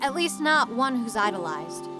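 A woman's voice: a little high, very animated, moderate pace, over music. A woman acting a level-headed, wry female academic in an audio drama, matter-of-fact in manner. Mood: analytical.

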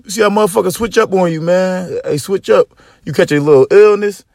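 A man hosting a podcast in casual chat, with a slightly raspy voice and loose, profane, joking rants. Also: fairly quick, a little mellow, dry recording, clean studio sound. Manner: profane, joking rants with big pitch swings. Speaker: podcast host.

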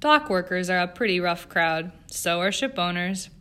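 A woman's voice: lowish voice, animated, moderate pace, a bit bright, clean tone. A woman voicing a privileged young socialite in an audiobook, with an airy, self-assured, impatient manner. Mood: breezy, nonchalant. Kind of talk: audiobook character acting.

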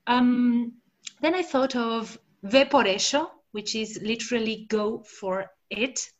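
A woman's voice: a little high, slow, muffled recording. A woman from Argentina, talking casually about translation with frequent fillers and restarts. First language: Spanish. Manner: hesitant, many fillers and restarts. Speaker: translator discussing research. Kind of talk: casual conversation.

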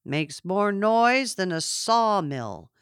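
An excitable old farmer , as a woman audiobook reader puts him on, brags and reminisces. He talks in rustic, folksy phrases with slow, emphatic stresses. The sound is close and dry. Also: mid-range voice, clear, resonant tone, no echo. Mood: defensive, boastful. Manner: folksy rustic dialect, emphatic drawn-out boasting. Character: boastful, excitable old rural farmer reminiscing about logging.

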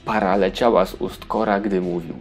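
Guy reading a kids fairytale, scripted narration, deepish with some fry, soft music under it. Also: fairly even intonation, moderate pace.

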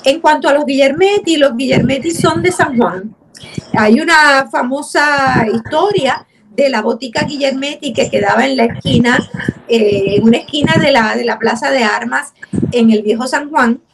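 A woman's voice: high-pitched, normal speed, vocal fry, noisy background. A woman in her seventies with a Puerto Rican accent, a guest recalling family history at an easy pace over a video call. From Puerto Rico. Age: 70s. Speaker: guest, actress. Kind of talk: interview.

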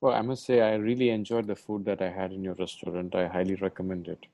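A man's voice: deep voice, little pitch movement, fast talker, dark tone, dry recording. A man hosting a podcast, talking in a loose conversational way. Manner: conversational, loose phrasing with "like" and "you know". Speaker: podcast host. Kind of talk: podcast interview.

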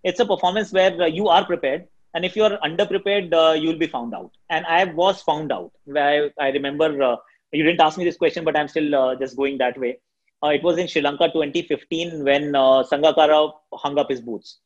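Shrill-voiced Indian man talking fast. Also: dull audio. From Delhi, India. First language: Hindi. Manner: fast, energetic talker. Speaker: cricket commentator. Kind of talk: interview answers.

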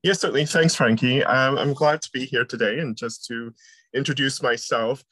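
A man's voice: medium pitch, steady tone, moderate pace, pushed and bright, husky. A middle-aged American man answers questions in a podcast interview, his speech hesitant and full of fillers. His tone stays friendly. The sound is dry and close, with no room echo. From United States. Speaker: university researcher guest.

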